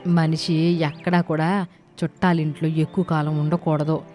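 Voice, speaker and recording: Woman acting a bossy, low-voiced village aunt. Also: flat delivery, moderate pace, a little mellow, faint music behind, natural voice. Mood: moralizing. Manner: colloquial, scolding, preachy. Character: bossy, sensible older village aunt, female. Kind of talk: animated moral-story dialogue.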